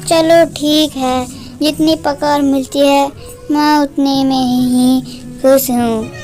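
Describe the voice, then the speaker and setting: A man's voice: extremely high pitch, little pitch movement, takes it slowly, darkish tone, faint music behind, voice pushed up. A man voicing an honest, humble farm labourer in a cartoon story, mild and resigned in manner.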